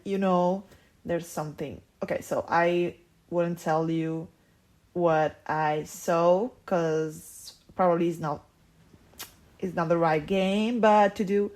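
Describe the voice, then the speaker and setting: Young woman, husky low voice, slow halting casual monologue, frank. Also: darkish tone. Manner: halting, full of fillers and restarts, frank and swearing. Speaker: podcast host.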